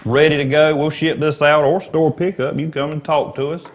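A man's voice: fairly high pitch, steady tone, moderate pace. A man with a Southern US accent co-hosting a shopping show with plain-spoken, folksy banter. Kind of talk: casual banter and sales pitch.

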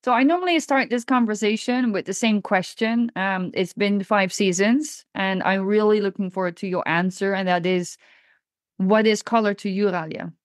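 A woman podcast host speaks in a conversational interviewing style. Her voice sits at a middle pitch, recorded dry with hardly any room sound. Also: moderate pace, no room sound.

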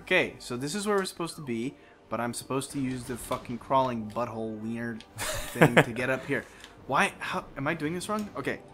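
A man's voice: mid-range voice, expressive, brisk, fairly bright tone, soft background music. A young man chatting casually while he plays a video game, teasing and swearing as he banters. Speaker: let's play co-host playing the game. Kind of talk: casual chat while gaming.

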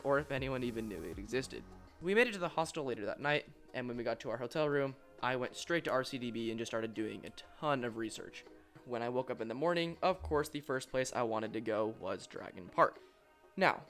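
A young man with an average-pitched voice tells a travel story as the voice-over for his own vlog. He sounds eager and keeps the tale moving like a storyteller. Faint music plays under his voice. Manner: excited, storytelling enthusiast. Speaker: coaster vlogger. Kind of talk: voice-over narration. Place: voice-over, not at the park.

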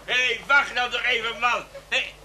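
An actor playing a rowdy, pushy drunk in a radio play, slurring, stammering and repeating himself. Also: male voice, very high-pitched, level tone, measured pace, background noise. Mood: insistent. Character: rowdy drunken man pestering train passengers. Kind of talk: Dutch radio play acting.

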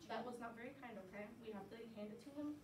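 A woman teaching a class of young children, lively and coaxing, with call-and-response cues. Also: on the high side, very fast. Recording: echoey classroom from far off, light noise.

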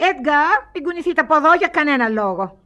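Posh bossy auntie in a radio comedy, high voice, fussing and giving orders. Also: female voice, expressive, bright, forceful tone. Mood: bossy, flustered. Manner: commanding, fussy, self-contradicting. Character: imperious, fretful wealthy society lady, bossy aunt. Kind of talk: classic radio comedy acting.

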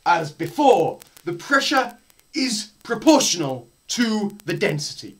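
A young man giving a dramatised lecture read aloud, theatrical and emphatic, with deliberate pauses, in a small room. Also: very high-pitched, a bit bright. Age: teens to young adult. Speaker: presenter. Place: small bare room indoors. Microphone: camera a short distance away.

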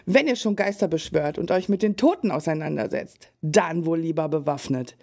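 A woman's voice: low-pitched, warm tone. Woman playing a mysterious fortune teller. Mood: knowing. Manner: slow, halting visionary trance speech. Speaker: medium. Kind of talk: German fan audio drama.

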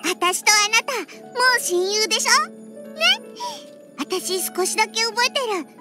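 A woman's voice: extremely high pitch, measured pace. A woman voicing a feisty little catgirl in an anime game, with a childlike, cutesy voice and a huffy, pouty manner. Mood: affectionate, shy. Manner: pouty, huffy, with cat-like verbal tics. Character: feisty little catgirl bartender who hates alcohol. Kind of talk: Japanese anime game character acting. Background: background music.

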